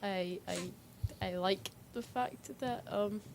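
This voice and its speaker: A woman on a talk show panel giving her opinions in a halting, casual discussion style. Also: medium pitch, gravelly, a little hiss or hum.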